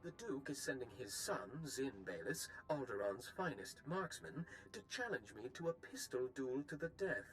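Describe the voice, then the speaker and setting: A man's voice: mid-range voice, sing-song, moderate pace, hardly any pauses, soft background music, natural voice, rough recording. An actor voicing a worried young nobleman in a video game, formal and serious. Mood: grave concern.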